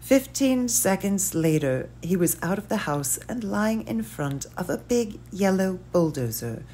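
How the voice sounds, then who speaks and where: A woman reading a novel aloud as narrator, measured and dropping softly at the ends of phrases. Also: mid-range voice, sing-song, a little hiss or hum. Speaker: audiobook reader. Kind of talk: scripted narration.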